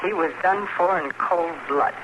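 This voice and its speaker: Actress as a stoic frontier widow, noisy old recording. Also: female voice, lowish voice, unhurried, close to an everyday voice. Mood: brooding certainty. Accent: rural American. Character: grieving, stoic, vengeful frontier farm widow. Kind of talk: old-time radio western acting.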